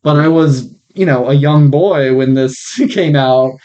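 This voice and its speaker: A man hosting a podcast, chatting casually in an informal, frank and joking way, on slightly rough mic audio. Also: fairly high pitch, measured pace, a little mellow. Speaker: podcast host.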